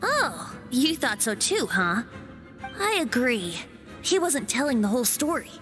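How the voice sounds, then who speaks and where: A youthful bard from a fantasy game talks in a high, animated voice. The delivery is light, polite and casually perceptive. Music plays clearly behind it. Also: female voice, unhurried. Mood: knowing. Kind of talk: English video game voice acting. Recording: background music under dialogue.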